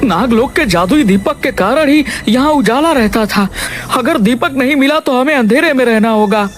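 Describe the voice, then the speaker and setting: A man's voice: very high-pitched, expressive, moderate pace, a little hiss or hum. A man voicing an anxious attendant of a serpent prince in a cartoon tale. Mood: alarmed.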